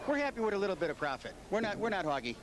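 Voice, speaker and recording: A man's voice: sits high, sing-song, racing. A middle-aged American man giving an informal promotional interview as a car dealer. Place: indoor bowling alley. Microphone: interviewer's handheld microphone. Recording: noisy videotape, busy background ambience.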